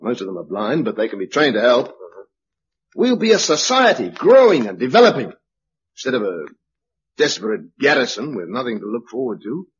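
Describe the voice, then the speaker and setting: Fiery British agitator for vintage radio drama; swinging, argumentative delivery, muffled recording. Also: male voice, mid-range voice, sing-song, normal speed, slightly rough. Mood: earnest. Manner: emphatic, argumentative, speechifying. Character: hot-tempered forceful male organizer urging survivors to rebuild. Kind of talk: 1950s-60s British radio drama acting. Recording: dull old radio broadcast recording.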